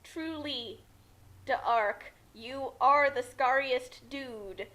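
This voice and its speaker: A woman's voice: sits high, very slow, a bit bright. A woman giving casual comedic commentary in a giggly, incredulous manner.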